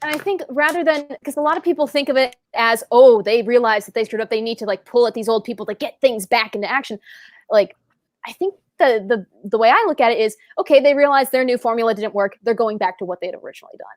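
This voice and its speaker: A woman chatting on a casual fan panel, animated and laughing often. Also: on the high side, fast, no echo, studio quality. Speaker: panel guest.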